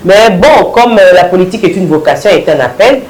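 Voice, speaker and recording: A woman, hoarse from a cold, answering interview questions haltingly with many fillers and restarts. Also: on the low side, animated, darkish tone, reverberant. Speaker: guest, party women's league coordinator.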